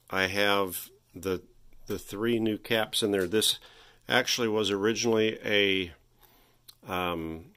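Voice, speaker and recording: Man, low voice, casual workshop walkthrough, halting, folksy, thinking aloud. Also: a bit bright. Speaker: hobbyist electronics restorer presenting his repair. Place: indoor workshop.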